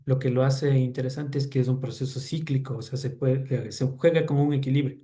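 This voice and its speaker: A man's voice: medium pitch, fairly even intonation, a little fast, warm tone. A man from Ecuador with an Ecuadorian accent, a doctoral student answering questions at an academic seminar in an informal, explanatory way. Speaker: doctoral student presenting research.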